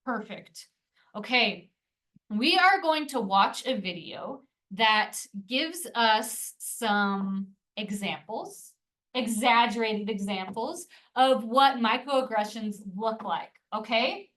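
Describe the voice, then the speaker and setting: A woman's voice: a little high, slow pace, a bit bright. A woman leading a tutor training session, speaking in an encouraging, reassuring way. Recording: distant room mic.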